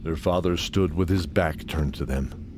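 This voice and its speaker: A man's voice: extremely low pitch, a little slow, noisy background. A man narrating a fantasy audio drama in a scripted, dramatic storytelling style.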